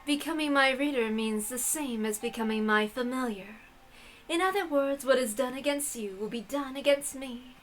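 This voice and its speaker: A woman voicing an ancient, frail, haughty witch from a visual novel, speaking quietly in measured, formal, imperious phrases. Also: high-pitched, slight background noise. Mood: stern, measured. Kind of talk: visual novel read-aloud.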